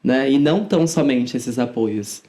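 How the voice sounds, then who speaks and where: Young woman, somewhat low-pitched informal speaker. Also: moderate pace, warm tone. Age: 20s. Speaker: podcast guest, student activist. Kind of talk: conversational interview answers.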